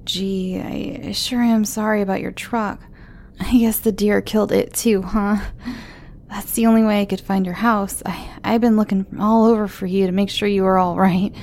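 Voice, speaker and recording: A woman voicing a shy, clingy teenage girl who stammers and speaks in breathy half-whispers. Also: mid-range voice, fairly even intonation, moderate pace, darkish tone, natural voice. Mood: eager, worried. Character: timid sweet teenage girl, eager and clingy.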